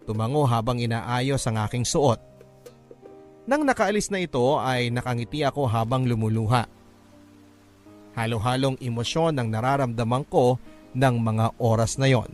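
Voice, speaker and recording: A man narrates a scripted first-person story in a classic radio drama manner. His voice is mid-range for a male speaker. Music plays lightly behind the narration. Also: mid-range voice. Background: music bed.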